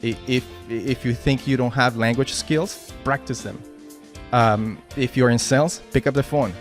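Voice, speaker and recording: Spanish-accented man giving a halting pep talk. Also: medium pitch, fairly even intonation, faint music behind. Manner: halting, many restarts and "right?" tags. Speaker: team lead giving a motivational talk. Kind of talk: informal motivational talk at a work meeting.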